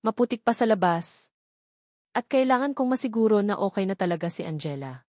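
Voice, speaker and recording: A woman's voice: lively intonation, fairly quick, darkish tone, no echo, clean studio sound, lightly acted. A woman with a Filipino accent voicing a serious, determined man in an audio love story. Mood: firm, concerned. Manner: low-key, serious.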